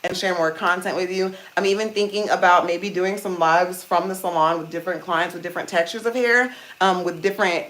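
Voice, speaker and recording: A woman with a deep voice hosting a casual hair-care livestream, chatting to viewers and calling them "you guys" while she steams her hair. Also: fairly even intonation, brisk, few pauses, a bit bright, rough recording. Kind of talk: casual live-stream chat reading comments. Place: indoors at home, seated on a small chair. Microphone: phone.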